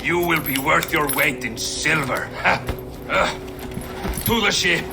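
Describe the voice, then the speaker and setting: An actor playing a gruff, jeering Viking raider henchman in a video game cutscene. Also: fairly high pitch, slow, over music.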